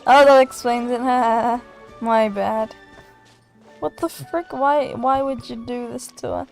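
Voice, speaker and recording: A woman's voice: on the high side, steady tone, slow, gravelly. A woman chatting casually as she reacts to a game, giggly and exclamatory. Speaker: gamer reacting to a game story. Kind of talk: casual chat, playthrough reactions. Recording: game music behind the voice.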